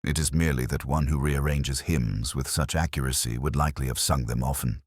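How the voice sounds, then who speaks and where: A man's voice: extremely low pitch, fairly even intonation, brisk, slightly rough, dry recording, studio quality. A man voicing a mild, cryptic police inspector in a detective story, speaking in a formal, musing way. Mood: mildly probing.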